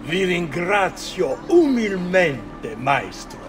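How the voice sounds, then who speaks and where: Obsequious, high-voiced Italian nobleman. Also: sing-song, slow pace, music in the background, full character voice. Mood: deferential. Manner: formal, obsequious. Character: deferential, courtly Venetian nobleman and plotter.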